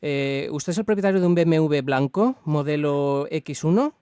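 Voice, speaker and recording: A man acts out a male traffic bureaucrat with a clean, smooth voice and a Spanish accent from Spain. He speaks formally and courteously, hesitating often as he apologetically delivers official notices in a radio prank call. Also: fairly high pitch, animated, moderate pace, darkish tone, dry recording, studio quality, lightly acted. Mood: apologetic, official. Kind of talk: improvised prank phone call in a formal register.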